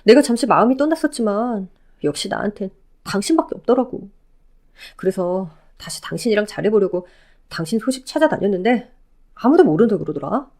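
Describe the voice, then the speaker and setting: A woman's voice: medium pitch, expressive, brisk, darkish tone. A woman voicing a wheedling, self-pitying ex-husband. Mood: pleading. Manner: wheedling, whiny begging. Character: pleading, self-pitying middle-aged ex-husband.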